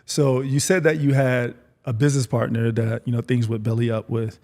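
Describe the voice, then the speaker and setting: A man's voice: medium pitch, level tone, normal speed, vocal fry, a little mellow. A man hosting a podcast in a casual, conversational interview style. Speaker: podcast host.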